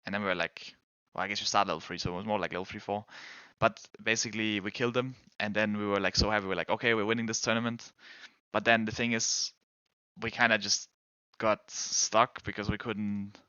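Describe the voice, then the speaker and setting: Crisp bright-voiced Danish-native male streamer. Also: lowish voice, little pitch movement, brisk, no echo, lo-fi sound. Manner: rambling, hesitant, restarts sentences. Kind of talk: casual chat with viewers while gaming.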